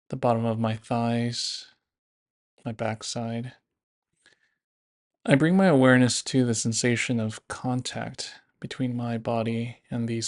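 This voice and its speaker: A man narrating a guided body scan meditation in a gentle, unhurried, instructive manner. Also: medium pitch.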